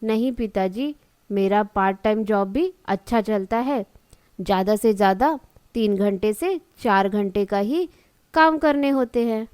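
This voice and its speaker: A woman voicing a dutiful, gentle college-age daughter in a cartoon story, speaking respectfully and reassuringly. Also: mid-range voice, a little slow, clear, resonant tone, no echo, natural voice. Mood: earnest, reassuring. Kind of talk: Hindi cartoon story dialogue.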